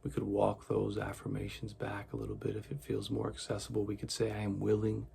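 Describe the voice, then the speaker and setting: A man leading a guided meditation in a gentle, soothing, deliberate way, with a gravelly, husky voice. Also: on the low side, completely flat delivery, a little hiss or hum.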